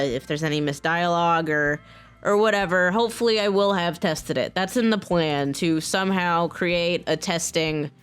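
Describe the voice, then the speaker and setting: Chatty, halting woman over background music. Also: medium pitch, a bit bright, clean tone. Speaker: voice actor, software engineer and game modder. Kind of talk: casual chatty vlog announcement.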